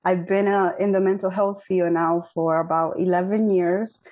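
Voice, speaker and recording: Mellow-voiced woman explaining thoughtfully. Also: lowish voice, steady tone, moderate pace. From Dominican Republic. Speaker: guest clinical psychologist. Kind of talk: interview answers.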